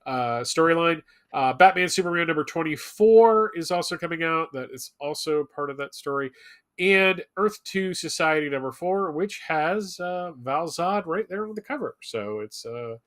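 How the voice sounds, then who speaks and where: A man co-hosting a live fan show, reading a loosely scripted rundown with hesitant pauses and wry asides. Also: a little high, lively intonation, fairly bright tone. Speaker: show co-host presenting comics history segment.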